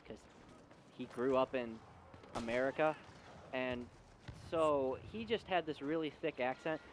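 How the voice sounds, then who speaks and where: Young adult male, slightly high voice, casual exasperated storyteller, noticeable background noise. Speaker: video storyteller. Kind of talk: casual personal storytelling rant.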